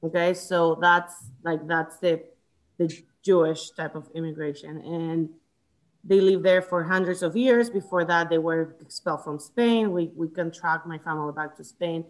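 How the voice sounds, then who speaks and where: Woman from Chile, book discussion, lowish pitch; hesitant, restarts a lot, fillers throughout. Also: normal speed. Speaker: book club member.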